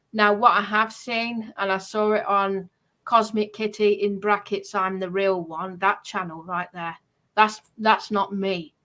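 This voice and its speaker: A woman's voice: medium pitch, fairly bright tone. A woman speaks in a mid-range voice in casual conversation. She recounts personal grievances insistently, often hesitating and repeating herself.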